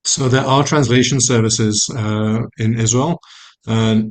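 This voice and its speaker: A man's voice: mid-range voice, steady tone, a little slow. A man, a family doctor on a webinar panel, answering frankly and plainly, a little self-deprecating, over a video call.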